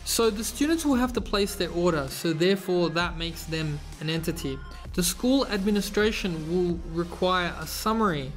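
A man hosting a screen-recorded tutorial, explaining step by step in a relaxed way with casual fillers. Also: high-pitched. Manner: relaxed, explanatory, frequent fillers like "you know". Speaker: tutorial host. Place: voice-over over slides.

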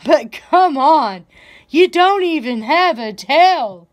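A woman's voice: high voice, animated, slow, pitched above the performer's own voice. A boastful donkey from a children's storybook, acted out by a woman. He teases and sneers in a haughty, slightly raised voice, taking his time over each jab. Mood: teasing. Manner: teasing, haughty. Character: boastful, mocking male farm donkey in a storybook.

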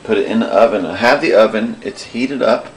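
A man filming in a home kitchen, gently coaching and asking playful questions from behind the camera. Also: lowish voice, fairly quick, slight background noise, rough recording. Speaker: parent filming a child's cooking show. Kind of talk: casual home video chat. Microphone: handheld camcorder mic, off screen behind camera.

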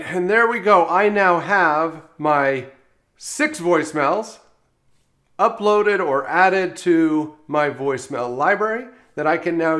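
Male software trainer, slightly higher register, explanatory step-by-step delivery for instructional screencast narration.

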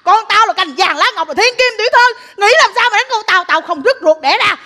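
A very high-voiced, combative mother from a comedy sketch. Her southern Vietnamese accent carries theatrical scolding and a sharp tongue. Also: lively intonation, quick pace, full character voice. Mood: furious. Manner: scolding, theatrical, chanting curses. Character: fierce, loud-mouthed mother quick to curse neighbours.

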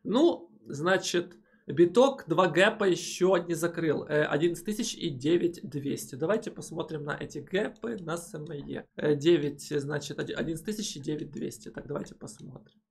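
A young man from Ukraine talks casually into a headset, running through viewer comments and his trades. His voice is gravelly and a little husky, pitched somewhat high for a man, and he speaks in animated, emphatic bursts with plenty of hesitation sounds. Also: moderate pace. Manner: animated, emphatic, with frequent filler "э". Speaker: crypto channel host.

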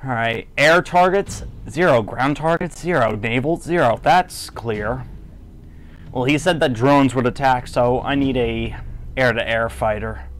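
A man giving casual, low-key gameplay commentary, musing to himself as he plays. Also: measured pace, fairly bright tone, slight background noise. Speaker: gamer doing a playthrough. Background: game music.

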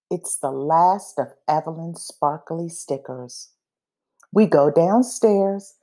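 Slow, low-voiced woman reading a picture book. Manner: expressive, acts out dialogue and pauses between phrases. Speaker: storybook reader. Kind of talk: children's picture book read-aloud.

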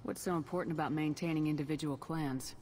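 A woman's voice: deep voice, steady tone, fast, darkish tone, natural voice. Game casting: confident military commander, female actor, direct, dry and wry, long reverb and faint noise around the voice. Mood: composed, wry. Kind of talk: video game dialogue.

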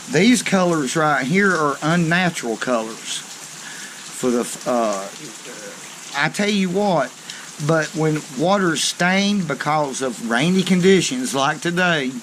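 A man's voice: on the high side, fairly bright tone, slight background noise, poor audio. A man, hosting a fishing channel and showing tackle at a table in a homey, folksy way, saying "okay" often. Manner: slow, folksy, down-home talk with frequent "okay". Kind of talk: hands-on tackle demonstration. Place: covered outdoor porch or garage on a rainy day.

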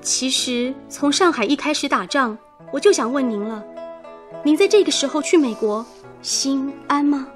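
An actress playing an earnest, idealistic young daughter in a radio drama, speaking with sensitive, pleading emotion. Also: female voice, high-pitched, lively intonation, moderate pace, soft background music. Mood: hesitant resolve.

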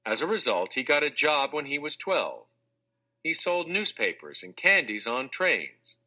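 A man narrating a children's textbook read-aloud in a clear, measured, expressive scripted delivery. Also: mid-range voice. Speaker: audiobook narrator.

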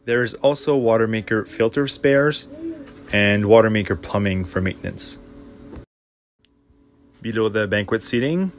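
A man with a fairly low voice narrates a tour of his own boat. He lists each compartment and piece of equipment in a plain, matter-of-fact way, without fuss. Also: a little slow, long pauses, faint music behind. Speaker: boat owner giving a tour.

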